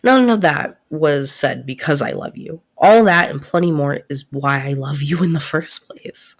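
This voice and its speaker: A woman playing a devoted, protective best friend in an intimate audio roleplay, soft-spoken and earnest, easily flustered. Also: deep voice. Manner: earnest, reassuring, halting when flustered.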